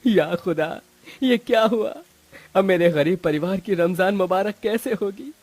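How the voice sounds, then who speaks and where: A man's voice: high voice, measured pace, darkish tone, no echo, voice pushed up. A man voicing a humble, pious poor father in a meek, pleading manner for a story. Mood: anguished pleading.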